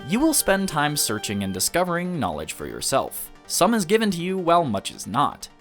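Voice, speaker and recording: A man reading a scripted review in the style of a video essay, earnest and measured, with emphatic stresses. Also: fairly high pitch, wildly swooping pitch, music in the background.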